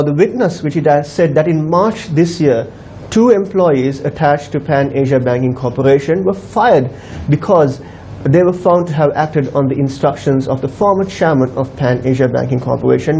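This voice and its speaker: A man's voice: mid-range voice, warm tone, a little hiss or hum, poor audio. A man with a Sri Lankan accent giving a live news report as a reporter.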